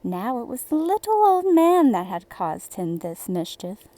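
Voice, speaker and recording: A woman narrates a children's fairy tale in a fairly high, mellow voice. She takes her time, shaping each phrase with deliberate storybook expression. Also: very animated, dry recording. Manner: slow, deliberate, theatrical storybook delivery.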